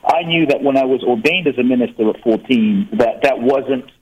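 A man's voice: mid-range voice, moderate pace, breathy edge, lo-fi sound. A man, a guest author telling his life story in an earnest, reflective way. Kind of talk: interview talk.

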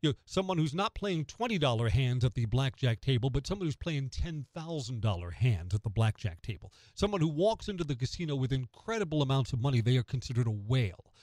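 American male radio host, emphatic opinion talk, very animated delivery, close dry studio sound. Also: medium pitch, a little fast, hardly any pauses, no echo. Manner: emphatic, rhetorical questions answered by himself. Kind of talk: conversational opinion commentary on news radio.

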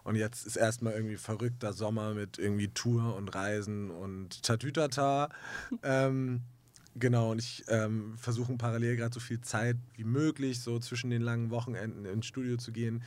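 A man who is a musician giving relaxed interview answers, rambling and filling his speech with hesitations. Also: medium pitch, normal speed. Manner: relaxed, many fillers like "irgendwie" and "ähm". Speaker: musician guest.

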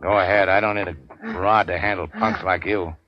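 An actor playing a cool, tough-talking racketeer in a 1940s radio crime drama, terse and wry. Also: male voice, deep voice, steady tone, fairly quick, lightly acted. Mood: scornful, unafraid. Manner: terse, wry, hard-boiled. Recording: dull, noisy 1940s radio recording.

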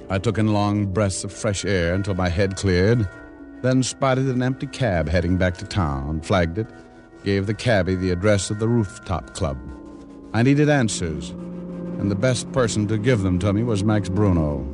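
An actor playing a wisecracking, world-weary private detective in an old radio drama, with a wry, deadpan manner. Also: male voice, sits low, normal speed, music in the background, natural voice. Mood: grim, terse. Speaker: actor narrating and playing the lead. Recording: vintage radio broadcast recording.

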